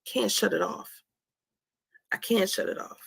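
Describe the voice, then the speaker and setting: Female, forties, heavily creaky and husky. Candid motivational speaker, leans on repetition for emphasis. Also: medium pitch, unhurried, a bit bright. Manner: emphatic, repeats phrases for effect. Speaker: host of a live talk on anxiety.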